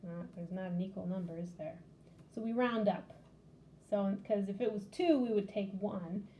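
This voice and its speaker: A woman's voice: mid-range voice, moderate pace, warm tone, a little hiss or hum. A woman hosting a children's library storytime, casually showing a craft and game step by step in simple words.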